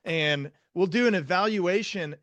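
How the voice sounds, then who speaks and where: Young man, interview host, storytelling manner, fairly high voice, dry close sound. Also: a little slow, fairly bright tone, no echo, lo-fi sound. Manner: storytelling, voices his own past thoughts. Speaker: psychiatrist host. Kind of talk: casual interview talk.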